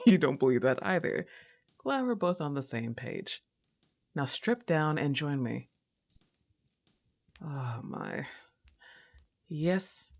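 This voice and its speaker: Woman acting a slow-talking, teasing goth roommate. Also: low voice, darkish tone. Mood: coaxing, flirty.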